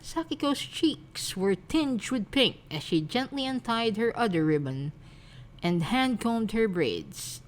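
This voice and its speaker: A woman reading romantic fan fiction aloud as narrator, in a soft scripted storytelling style. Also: a little high, sing-song, slow, slight background noise.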